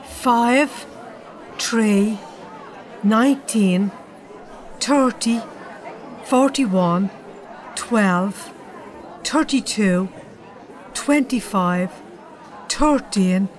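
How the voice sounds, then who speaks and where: An actress playing a businesslike bingo checker in an audio drama, announcing deliberately. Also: female voice, mid-range voice, some chatter behind. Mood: measured.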